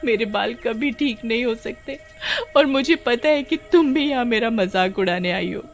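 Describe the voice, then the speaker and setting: A woman voicing a sensitive, naive village girl with plaintive, expressive delivery in an animated story. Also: fairly high pitch, moderate pace, faint music behind, pitched above the performer's own voice, natural voice. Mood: despairing.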